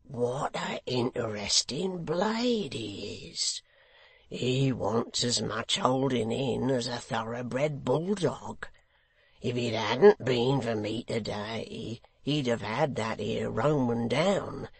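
Casting: male reader as rough storybook hangman, hoarse growl, sly and over-familiar, unhurried delivery. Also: medium pitch, lively intonation, a crowd in the background, full character voice. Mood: gleeful, confiding. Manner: cockney-style dropped grammar, chuckling familiarity. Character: coarse, sly, eager middle-aged male hangman.

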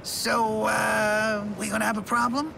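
An actor playing a wary, crude henchman in a video game, confrontational and gravelly. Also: flat delivery, a little slow. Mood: suspicious. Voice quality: creaky, gravelly. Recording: loud ambient rumble behind.

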